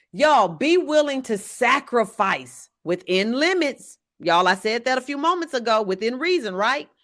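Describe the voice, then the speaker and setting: A woman, an evangelist teaching a church leadership lesson in a loud voice. She speaks to the audience directly and checks in with them often. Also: high-pitched. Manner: says she has a very loud voice; talks to the audience directly, often asking "right?".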